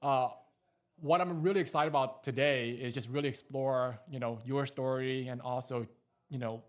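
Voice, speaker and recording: A man's voice: medium pitch, fairly even intonation, normal speed. A man hosting an interview in a studio, talking with many fillers and repeated words. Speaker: interview host.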